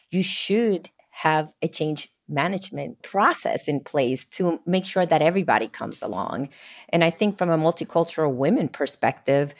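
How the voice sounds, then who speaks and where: A woman from Puerto Rico co-hosting a conversation, speaking in an emphatic, affirming way. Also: low voice. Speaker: podcast co-host. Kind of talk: casual conversation.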